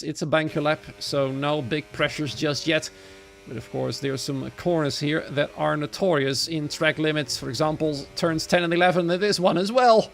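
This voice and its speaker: Dutch-accented male racing commentator, creaky voice. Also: on the high side. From Netherlands. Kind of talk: live solo sim racing commentary.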